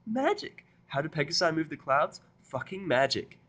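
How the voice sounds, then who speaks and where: A man's voice: a little high, sing-song, normal speed, a bit bright, voice pushed up. A stubborn, irritable human guy played by a man with a dry, sarcastic streak. He swears freely and sounds like an everyday man in a fantasy audio story. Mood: mocking, exasperated.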